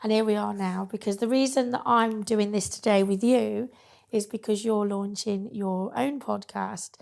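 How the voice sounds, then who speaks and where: A woman with a croaky voice interviewing a friend in a small studio, friendly and rambling with many ums. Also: medium pitch, steady tone, moderate pace, darkish tone. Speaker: interviewer, podcaster. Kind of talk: casual interview chat.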